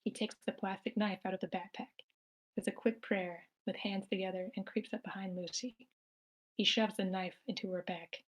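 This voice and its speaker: A woman narrates a screenplay's action at an amateur table read. She reads plainly in a medium-pitched voice and now and then stumbles on a word. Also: steady tone, normal speed, poor audio. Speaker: stage-direction narrator.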